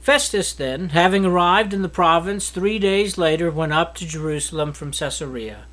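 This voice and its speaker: Male reader, thirties, notably high voice, solemn and declamatory scripture delivery. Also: moderate pace, a bit bright. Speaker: Bible reader. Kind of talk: solemn scripture reading aloud. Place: small indoor study with bookshelf. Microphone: microphone on a stand at a lectern.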